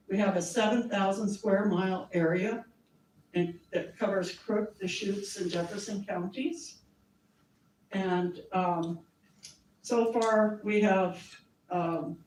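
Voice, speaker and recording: A woman's voice: fairly low pitch, a little mellow, far from the mic. A woman with a scratchy voice giving a friendly, chatty presentation to a community meeting, with small laughs and fillers. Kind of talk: informal presentation to a group. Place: meeting room. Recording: echoey room, voice from off-mic.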